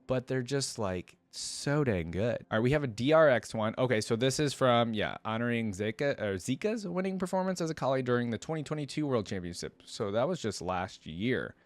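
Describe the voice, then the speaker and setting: A man's voice: medium pitch, animated, fairly quick, few pauses, no echo. A man giving casual, unscripted reaction commentary as a video host, enthusiastic and rambling with informal, slangy wording.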